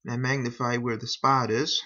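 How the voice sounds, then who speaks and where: Male hobbyist narrator, folksy and unhurried, mid-range voice, casual step-by-step delivery, muffled lo-fi home recording. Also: mid-range voice, flat delivery, sharp tone. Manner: unhurried, folksy, talking through each step. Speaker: hobbyist demonstrating a repair.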